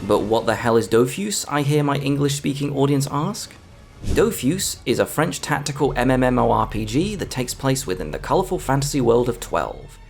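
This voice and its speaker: Animated man narrating a video essay. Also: mid-range voice, normal speed, gravelly. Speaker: video essayist, film reviewer. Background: background music.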